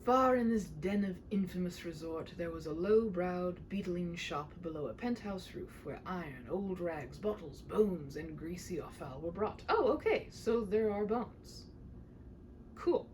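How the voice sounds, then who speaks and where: A woman's voice: lowish voice, expressive, unhurried, darkish tone, slight background noise, poor audio. A woman in her thirties reading a story aloud expressively, with dramatic delivery and wry, casual asides. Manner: dramatic reading, wry self-interrupting commentary. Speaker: storybook reader.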